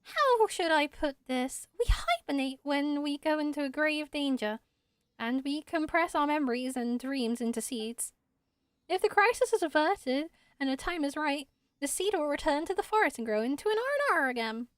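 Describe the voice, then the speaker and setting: A small, timid forest spirit from a fantasy game, acted by a woman, with a very high, swooping voice. It speaks in a hesitant, dreamy ramble, wide-eyed and earnest. The sound is dry and close over music. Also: expressive, normal speed, no echo. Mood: wistful, earnest. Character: timid, dreamy little forest spirit, just woken and dizzy.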